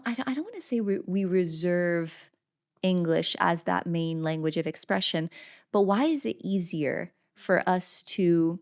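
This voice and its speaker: Woman, native Arabic speaker, podcast host, hesitant, restarts, gravelly, dry close sound. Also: on the low side, darkish tone, dry recording. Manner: hesitant, searching for words, many restarts. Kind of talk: casual interview questions.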